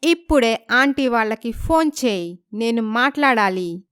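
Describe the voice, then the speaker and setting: A woman's voice: on the high side, animated, a little slow, fairly bright tone, clear, resonant tone, dry recording, clean studio sound. A woman voicing a demanding, bubbly young wife in a story, bossy and mixing in English phrases. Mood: demanding, annoyed.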